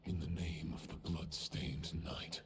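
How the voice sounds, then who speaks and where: Casting: grave villain knight for a game, slow solemn delivery over noisy ambience. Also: male voice, a bass voice, completely flat delivery, gravelly, heavily acted. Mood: solemn, menacing. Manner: solemn, formal, deliberate. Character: grave, chivalrous enemy knight leading a monstrous horde. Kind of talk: scripted game dialogue.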